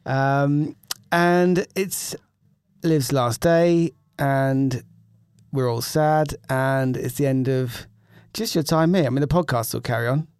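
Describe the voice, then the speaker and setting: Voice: medium pitch, takes it slowly, a little mellow, clean tone, no echo. Podcast host, chatty and giggly, stammers into questions, dry close sound. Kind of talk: casual chat. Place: podcast room.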